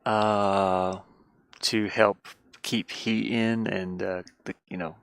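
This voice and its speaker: A man with a gravelly, creaky voice in a close, dry space. He chats informally on a livestream, rambling along and muttering to himself as he works. His pitch sits on the low side. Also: flat delivery, slow pace, no echo. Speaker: livestreamer drawing on an art tablet. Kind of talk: casual rambling chat while drawing. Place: inside a metal van lined with insulation.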